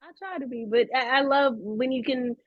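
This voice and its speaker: A woman's voice: sits high, moderate pace, no room sound. American woman chatting, close and slightly dull recording. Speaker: voice actress, interview guest. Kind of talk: casual chat.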